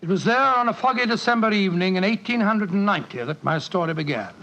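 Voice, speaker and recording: An actor playing a genial, fussy elderly British doctor who reminisces in 1940s radio drama style. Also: male voice, sits high, expressive, fast talker, creaky, pushed and bright, exaggerated voice. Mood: storytelling. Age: older. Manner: avuncular, fussy, genteel. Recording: muffled vintage 1940s radio broadcast.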